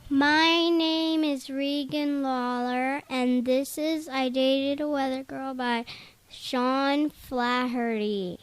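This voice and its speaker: Very slow, high-voiced woman reading. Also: fairly even intonation, dry recording. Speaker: reader of a literary piece. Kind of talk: literary reading.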